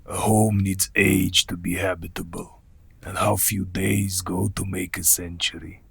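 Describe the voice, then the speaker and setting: Gravelly, slow-spoken ancient vampire count. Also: male voice, deep voice, flat delivery, a bit bright, dry recording, full character voice. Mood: courtly, ominous. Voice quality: gravelly, husky. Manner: slow, formal, deliberate. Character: ancient, courtly, menacing male vampire count. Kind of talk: radio play acting.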